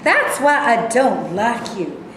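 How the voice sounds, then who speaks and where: A woman playing a sharp-tongued, sarcastic, independent woman delivering mocking jabs in a staged reading. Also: a little high, big pitch swings, takes it slowly, heavily acted. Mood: sarcastic, scornful. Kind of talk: staged reading of comic dialogue. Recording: echoey room, faint background noise.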